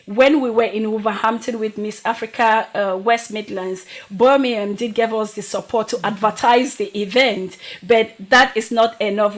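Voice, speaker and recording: A young woman giving explanatory answers as a guest organiser in a talk show interview. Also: fairly high pitch. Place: TV talk show studio, indoors on a sofa.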